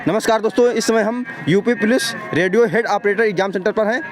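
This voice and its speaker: A man interviewing exam candidates outdoors outside an exam centre, asking quick questions and acknowledging each answer briefly. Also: high voice, loud music behind the voice. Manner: quick-fire questions, frequent "achha" acknowledgements. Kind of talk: street interview. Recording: loud crowd outdoors.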